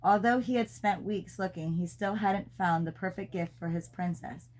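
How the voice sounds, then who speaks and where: A woman's voice: medium pitch, normal speed, a little mellow, poor audio. A woman reading a children's storybook aloud, as a mother does for her child.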